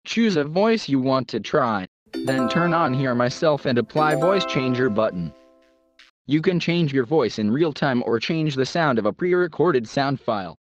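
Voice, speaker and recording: A man's voice: medium pitch, expressive, a little slow, highs cut off, over music. A man reading a scripted product tutorial as the presenter.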